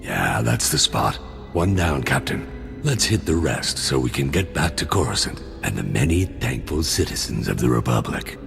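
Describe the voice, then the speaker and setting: An actor playing an easygoing, wisecracking demolitions soldier in a video game, with a gravelly voice and a wry, laid-back manner. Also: male voice, a bass voice, big pitch swings, normal speed, long reverb tail, over music, heavily acted. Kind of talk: video game cutscene acting.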